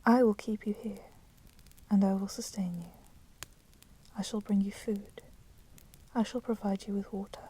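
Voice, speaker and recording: A woman playing a coolly menacing, possessive female vampire in a whispered ASMR roleplay, with soft, breathy delivery and formal, deliberate phrasing. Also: mid-range voice, natural voice. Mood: controlled, possessive. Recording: steady background noise, crackling fire sound. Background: crackling fire.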